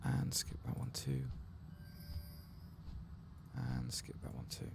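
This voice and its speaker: A man giving soft-spoken, partly whispered ASMR gaming commentary, casually swearing and thinking aloud. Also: mid-range voice, big pitch swings, slow, gravelly, noisy background. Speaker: host of an ASMR game playthrough.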